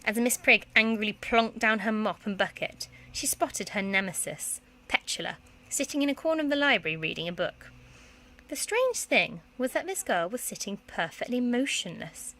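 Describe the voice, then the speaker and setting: A woman's voice: on the high side, very animated, moderate pace, bright, forceful tone. A woman, a primary school teacher, reading a children's story aloud expressively.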